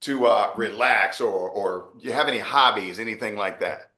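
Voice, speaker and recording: A man's voice: on the low side, normal speed, sharp tone. Easygoing male interviewer in an echoey room. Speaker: interview host. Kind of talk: interview questions. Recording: roomy echo.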